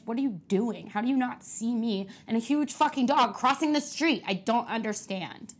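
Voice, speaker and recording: A young woman hosting a casual podcast from a kitchen, ranting and cursing with excitable energy. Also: on the high side. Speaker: podcast host. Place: kitchen at home.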